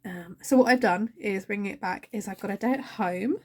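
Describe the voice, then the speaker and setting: A woman's voice: medium pitch, fairly quick, slightly rough. A young woman, a teacher, chatting casually to camera at home while feeling fluey and tired, self-mocking. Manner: chatty, self-mocking, talks to her cat. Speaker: teacher and budgeting vlogger. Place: at home, indoors.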